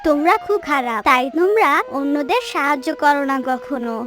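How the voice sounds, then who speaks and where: A woman's voice: sits high, expressive, brisk, music in the background. A woman voicing a small, kind-hearted, earnest female bird in a children's cartoon. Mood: indignant.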